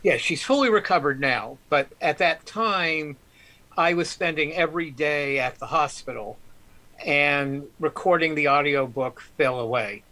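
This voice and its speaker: A man's voice: a little high, unhurried, bright, forceful tone, rough recording. A man guest author telling stories on a live stream, rambling with many ums and restarts. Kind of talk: casual storytelling on a live stream.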